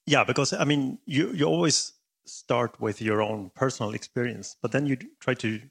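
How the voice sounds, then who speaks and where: A man interviewing a guest, talking in a halting way and often repeating words as he searches for them. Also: mid-range voice, creaky, husky. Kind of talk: interview conversation.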